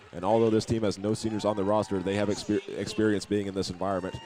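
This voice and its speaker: A man's voice: on the low side, a little mellow. An American man giving pregame commentary as a play-by-play broadcaster, in an earnest announcer delivery.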